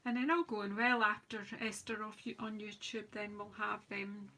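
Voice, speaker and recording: Chatty woman, bright and croaky. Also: mid-range voice, level tone, moderate pace. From United Kingdom. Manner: chatty, rambling, self-deprecating, talks while working. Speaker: craft demonstrator host. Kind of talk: live-stream craft demo chat.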